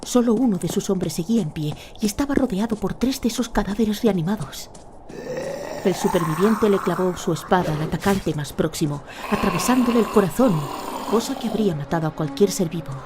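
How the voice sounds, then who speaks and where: A woman narrating a scripted audio drama in a measured, dramatic storytelling manner. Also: mid-range voice, noisy background.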